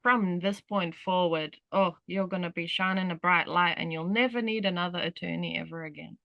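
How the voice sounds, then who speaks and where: A woman's voice: fairly low pitch, fairly even intonation, normal speed, vocal fry, no room sound, highs cut off. Rambling Australian-accented woman host. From South Africa. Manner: rambling, many "you know" fillers. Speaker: workshop host. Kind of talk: casual talk on a group call.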